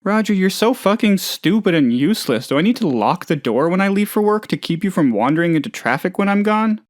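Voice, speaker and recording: A man's voice: high-pitched, lively intonation, brisk, no echo, studio quality. A man playing a hapless, trusting, lonely oddball in a video game, talking in a rambling, hesitant, good-natured way. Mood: mocking quoted scolding. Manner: rambling, hesitant, naive, chatty. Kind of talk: video game character dialogue.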